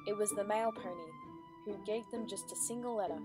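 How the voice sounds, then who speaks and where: A woman's voice: mid-range voice, a little fast. Woman narrating a story over music.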